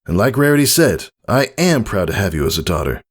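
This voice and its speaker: A man's voice: on the low side, big pitch swings, a little fast, slightly rough, pitched above the performer's own voice. A man voicing a kindly, composed father pony in a story reading. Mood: warm, proud.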